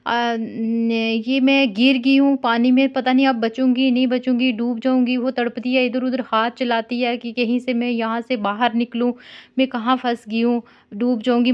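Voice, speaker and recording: A woman chatting casually on a call, consoling and gently scolding as she tells a simple story in a conversational way. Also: a little high. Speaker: caller consoling an older sister figure. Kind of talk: casual phone chat with storytelling. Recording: dull lo-fi call recording.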